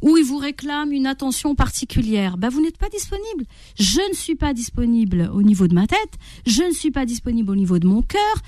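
A woman's voice: high voice, a little fast, no room sound. A middle-aged woman giving parenting advice as an interview guest, talking expressively and acting out the inner voices of stressed parents. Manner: animated, acts out inner voices.

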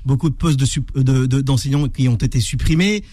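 A man's voice: medium pitch, brisk, warm tone, no room sound. A man on a radio debate panel, critical and stammering on repeated words. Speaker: debate guest.

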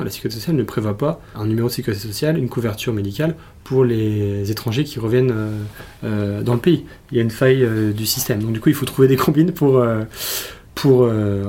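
A man's voice: mid-range voice, warm tone. A man guest explaining his experience in a hesitant way with many fillers. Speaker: interview guest. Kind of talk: casual testimony.

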